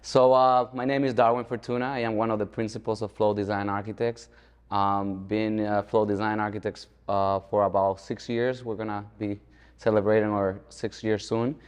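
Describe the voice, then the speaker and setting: Male architect guest from the Dominican Republic, lowish voice, informal interview talk, long reverb. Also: flat delivery, normal speed, smooth. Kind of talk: conversational interview. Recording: echoey hall-like reverb.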